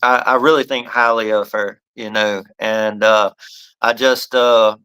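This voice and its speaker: A man's voice: lowish voice, fairly even intonation, measured pace, pushed and bright, clear, resonant tone, low-quality recording. A man hosting a casual live-stream chat, laughing often and talking in an easygoing, upbeat way. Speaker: host.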